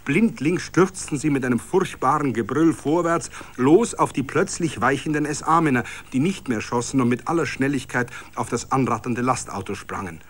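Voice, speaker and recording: A man's voice: medium pitch, moderate pace, hardly any pauses. Bavarian man reading dramatic literary prose. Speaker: reader of a literary story. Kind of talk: dramatic scripted literary narration.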